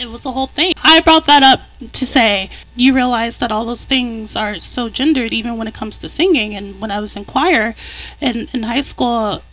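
A man with a high countertenor voice, a podcast guest talking candidly and rambling in casual chat. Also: sharp tone, rough recording.

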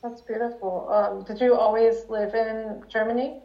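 A woman hosts the interview, asking questions with encouraging ease. Her voice sits fairly high. The recording is echoey and a little dull. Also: steady tone, normal speed. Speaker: podcast host. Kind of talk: interview hosting.

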